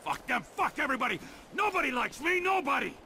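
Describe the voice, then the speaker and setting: An actor playing an unhinged, self-loathing middle-aged male outlaw in a video game, volatile, with a slurred drawl that can swing into anguished shouting. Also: very high voice, very animated, quick pace, gravelly, exaggerated voice. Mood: bitter outburst. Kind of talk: video game cutscene acting. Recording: noisy game ambience behind voice.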